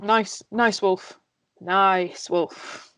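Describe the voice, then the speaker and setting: A woman's voice: medium pitch, steady tone, very slow, a bit bright, slight background noise. A woman reading the part of a sardonic, tipsy male dwarf nobleman in an amateur fantasy table read. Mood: drunk, wry. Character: sardonic drunk dwarf nobleman, blunt and world-weary.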